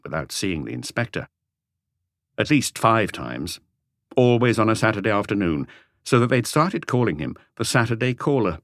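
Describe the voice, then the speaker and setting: A man's voice: deep voice, fairly bright tone, no room sound, studio quality. A man reading scripted narration as the narrator of a mystery audiobook.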